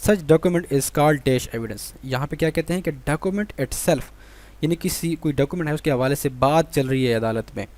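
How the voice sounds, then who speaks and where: A man's voice: fairly high pitch, normal speed, a little mellow. A man with a Pakistani accent teaching an exam-prep law lesson, reading out items and then explaining them with rhetorical questions and repetition. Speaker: law lecturer.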